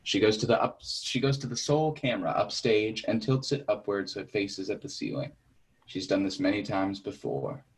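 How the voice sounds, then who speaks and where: Guy narrating stage directions from a script, a bit deep. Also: moderate pace.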